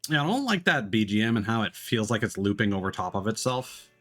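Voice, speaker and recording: Casual male streamer with very lively pitch. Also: fairly quick. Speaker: game streamer. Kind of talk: casual live gameplay commentary. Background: game music.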